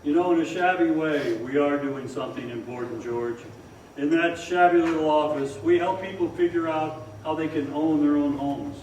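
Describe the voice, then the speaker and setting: An actor playing a tired, kindly older father in a radio drama on stage, gentle, measured and plain-spoken. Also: fairly high pitch, close to an everyday voice. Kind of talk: old-time radio drama acting on stage. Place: performance hall, far from the microphone. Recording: very echoey, distant stage recording, faint noise.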